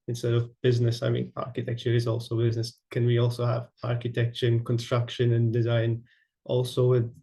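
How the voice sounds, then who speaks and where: A young man from Latvia presenting his architecture project, halting with many fillers and restarts. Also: mid-range voice, mellow. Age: young adult. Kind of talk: online presentation.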